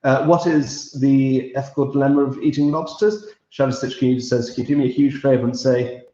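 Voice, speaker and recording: A man's voice: mid-range voice, fairly even intonation, brisk, warm tone. A man from Belgium hosting a pub quiz stream, chatting casually and reading out messages in a meandering, friendly way. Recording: roomy, slightly dull audio.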